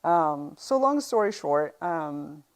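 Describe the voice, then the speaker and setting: A middle-aged woman from Uganda with an East African accent, recounting personal experience conversationally as an interview guest, with halting pauses. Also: deep voice, expressive.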